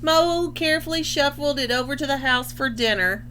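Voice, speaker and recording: A woman reading a children's picture book aloud expressively, pausing to explain things in simple terms. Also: sits high, a bit bright, slight background noise. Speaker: storybook reader.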